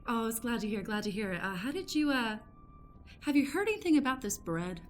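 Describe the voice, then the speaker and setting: A woman playing a nosy, earnest, awkward journalist in an improvised comedic radio play, halting and polite with frequent stammers. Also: a little high, expressive, faint music behind, natural voice. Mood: polite curiosity.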